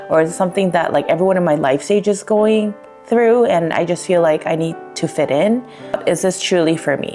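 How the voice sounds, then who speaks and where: A young woman giving a candid personal vlog update, rambling a little with fillers, her voice slightly raspy. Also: on the low side, darkish tone. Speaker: vlogger.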